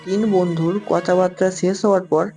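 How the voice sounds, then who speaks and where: A woman's voice: fairly low pitch, level tone, brisk, warm tone. Woman narrating over music. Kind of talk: scripted horror story narration. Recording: clear music under the narration.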